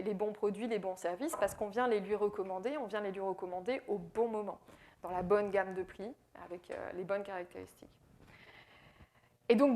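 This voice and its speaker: A woman from France with a French accent delivers a business presentation. Her voice sits a little higher than most. She explains step by step, with frequent fillers and repeated words as she thinks aloud. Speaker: AI consultant speaker. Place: presentation in Quebec.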